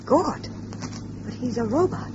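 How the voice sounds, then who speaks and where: A woman's voice: on the high side, lively intonation, measured pace. An American actress playing an earnest, principled, anxious woman in a 1950s radio drama. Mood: tense, worried. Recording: noisy, dull old radio recording.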